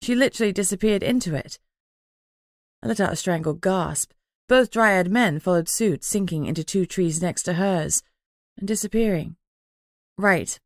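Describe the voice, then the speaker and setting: A woman's voice: fairly low pitch, normal speed, long pauses, dry recording, clean studio sound. A woman reading scripted first-person fantasy fiction as an audiobook narrator.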